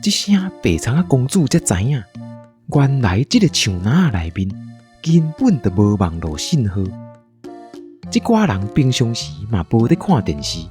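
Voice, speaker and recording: A man with a Taiwanese accent narrates a children's radio story as the show's storyteller. His mid-pitched voice moves in lively swings, with faint music underneath. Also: a little slow. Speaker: storyteller and show host.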